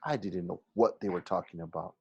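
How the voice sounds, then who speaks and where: A man reading a children's novel aloud like a teacher to a class, expressive but halting, often going back over phrases. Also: sits low, gravelly, breathy edge, dry recording, highs cut off. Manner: halting, stumbles and rereads phrases.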